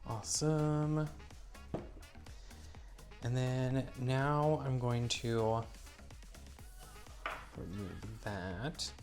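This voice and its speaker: A man's voice: medium pitch, extremely slow, pauses often, over loud music. A man getting over a cold, sounding stuffy and a bit wheezy, cheerfully walking through a hands-on demonstration step by step. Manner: upbeat, explains each step as he works. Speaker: maker demonstrating a product. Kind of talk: casual how-to demonstration.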